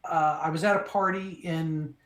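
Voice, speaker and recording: A man's voice: on the high side, normal speed. Echoey middle-aged man telling his story. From Minnesota. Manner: animated, restarts phrases, acts out quoted lines. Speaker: voice actor, interview guest. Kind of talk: relaxed storytelling. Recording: echoey room.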